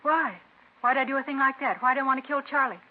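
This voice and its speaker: Fast, defiant woman suspect played by an actress. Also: high voice, close to an everyday voice. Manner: curt, indignant, sarcastic. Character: defiant, sharp-tongued woman murder suspect. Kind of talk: 1950s radio crime drama. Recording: tinny vintage radio recording.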